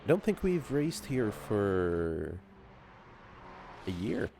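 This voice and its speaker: A man's voice: on the low side, big pitch swings, takes it slowly, vocal fry, warm tone, a little hiss or hum. A nasal-sounding man with a cold, giggling often as he commentates a live sim race. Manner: giggly, laughs often, playful. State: has a cold.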